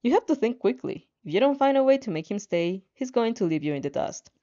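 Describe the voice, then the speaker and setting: A woman reading fan fiction aloud in an animated way, now and then stumbling and rereading a phrase, on a slightly dull recording. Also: a little high, brisk. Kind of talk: animated story reading with asides.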